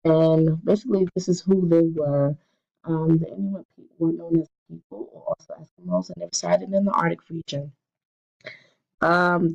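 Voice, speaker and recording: A woman's voice: low-pitched, vocal fry, darkish tone, muffled recording. A young woman, stuffy from a cold, presenting a class essay with frequent fillers and restarts. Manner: hesitant, many fillers and restarts. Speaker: student presenter.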